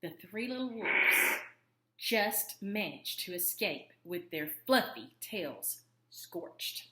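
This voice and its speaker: A woman narrating a children's story expressively, with dramatic emphasis and pauses. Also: fairly low pitch, very animated, echoey room, background noise. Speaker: story reader.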